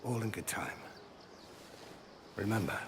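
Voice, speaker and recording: An actor playing a proud, measured older Roman father, a former soldier, in a video game drama. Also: on the low side, lively intonation, fairly bright tone, slight background noise. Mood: grave, gentle. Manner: deliberate, solemn, paternal. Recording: music behind the voice.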